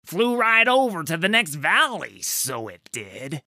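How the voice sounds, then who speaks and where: A wheezing, dim-witted troll with a rust-brown hide gasps out his words in a rough, simple way, as a man puts him on. His pitch swings widely and sits dry and close in a storybook reading. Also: very animated, measured pace, pushed and bright, dry recording, heavily acted. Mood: gossipy.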